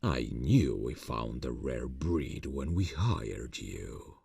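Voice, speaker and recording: A man playing an ancient, courtly vampire boss in an ASMR fantasy roleplay, seductive and quietly menacing, speaking formally and deliberately. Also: sing-song, no room sound, clean studio sound, exaggerated voice. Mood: amused, probing. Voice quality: very deep, gravelly, breathy. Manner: slow, formal, silky, deliberate. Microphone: close microphone, dry sound.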